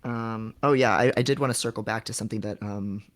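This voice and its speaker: A man's voice: lowish voice, a little fast, fairly bright tone. A man hosting a casual livestream, giving frank advice in a conversational, somewhat hesitant way. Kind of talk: casual livestream chat, advising.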